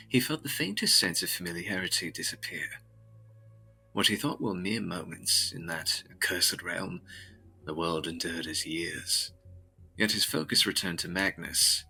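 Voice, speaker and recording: A male narrator with a very low voice delivers scripted gothic fiction. His phrasing is deliberate, solemn and dramatic. Music plays clearly behind the narration. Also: sharp tone, poor audio.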